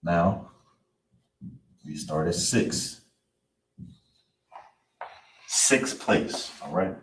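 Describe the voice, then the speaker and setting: A man teaching a live-streamed online language class in a relaxed way, often checking in with "you understand?" and "all right?". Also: sits low, drawn-out words. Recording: roomy, distant, faint hiss.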